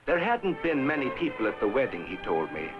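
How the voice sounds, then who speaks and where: A man playing a towboat crewman, narrating an educational film in a measured storytelling way. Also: mid-range voice, sing-song, lightly acted. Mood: dramatic storytelling. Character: towboat man narrating a river voyage to a boy. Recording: dull old film soundtrack. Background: music.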